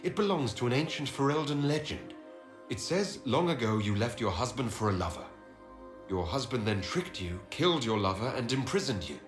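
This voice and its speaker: A man's voice: mid-range voice, expressive, normal speed, lightly acted. A man playing an earnest, questioning heroic leader in a video game, speaking in a formal, probing manner. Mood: measured, probing. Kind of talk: dramatic video game acting. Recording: roomy reverb with music behind.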